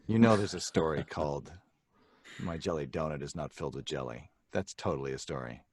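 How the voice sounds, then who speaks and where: A man's voice: a bass voice, brisk, darkish tone. A man chatting casually as a podcast cast member. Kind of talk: casual chat.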